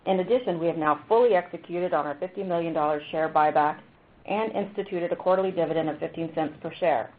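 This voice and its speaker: A woman's voice: on the low side, fast. A woman reading a scripted financial report as a company executive in a formal, businesslike way on a conference call. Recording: tinny phone-line conference call.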